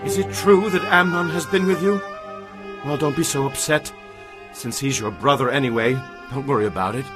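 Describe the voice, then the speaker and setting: An actor playing a cunning, commanding young prince with an intense manner in a dramatized audio Bible. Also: male voice, medium pitch, normal speed, music in the background, close to an everyday voice. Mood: soothing.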